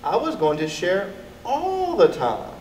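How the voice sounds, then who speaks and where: A man's voice: high voice, wildly swooping pitch, slow pace, a little mellow, pitched above the performer's own voice, heavily acted. A man voicing a greedy, grudging young girl dog from a storybook, exclaiming with childish possessiveness. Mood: defensive. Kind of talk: storybook character voice. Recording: echoey, distant mic, background noise.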